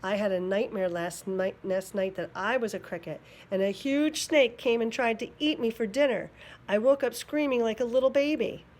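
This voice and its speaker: A woman voicing a sensitive young boy cow in a storybook read-aloud, crying out high and loud. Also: normal speed, natural voice. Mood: earnest.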